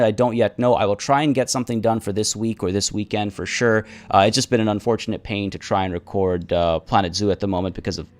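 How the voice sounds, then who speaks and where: A man live-streaming a game, chatting casually and rambling with many restarts, his voice a little rough from the morning. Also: medium pitch.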